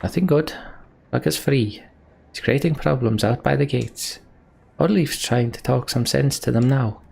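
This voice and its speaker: A man's voice: medium pitch, a little slow, gravelly, a little mellow, breathy edge, voice pushed up, full character voice. A man voicing a flustered young man who stumbles over his words and then turns to reporting bad news. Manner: fumbling, hesitant.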